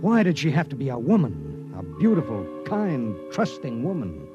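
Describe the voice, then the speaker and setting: An actor playing a lonely emergency dispatch pilot, narrating and speaking in a clipped, terse way in a 1950s radio drama. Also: male voice, medium pitch, wildly swooping pitch, normal speed, muffled recording. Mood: anguished. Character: lonely space pilot, male, adult, grim and tender. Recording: vintage radio broadcast with music.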